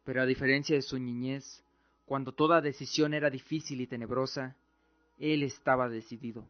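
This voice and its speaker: A man's voice: mid-range voice, steady tone, moderate pace, muffled recording, soft background music. A man reading scripted dramatic narration as the storyteller of an audio drama.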